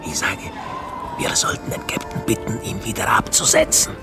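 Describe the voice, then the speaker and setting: An actor playing a superstitious, frightened sailor in a radio play, muttering suspiciously in a half-whisper. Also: male voice, lowish voice, wildly swooping pitch, moderate pace, music in the background. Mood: fearful muttering.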